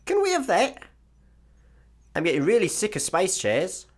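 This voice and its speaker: An actor playing a chirpy, wordy intergalactic layabout with breezy, mock-grandiose patter in a sci-fi comedy radio play. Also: male voice, high voice, wildly swooping pitch, normal speed, full character voice. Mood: breezy, cheerful.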